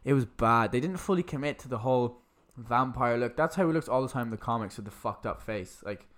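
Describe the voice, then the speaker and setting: A man's voice: medium pitch, expressive, a little fast, fairly bright tone. A man with a stuffy nose from a cold, co-hosting a casual podcast and bluntly complaining about a film. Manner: blunt, sweary, grumbling. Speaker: podcast co-host. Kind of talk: casual movie review chat.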